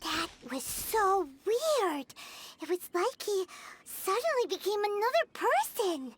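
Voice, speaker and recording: Very high squeaky voice, swooping all over, slow and drawn out, excitable tiny fairy companion, game character. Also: female voice, slight background noise, exaggerated voice. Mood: amazed. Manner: excitable, amazed. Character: tiny chirpy female floating fairy companion.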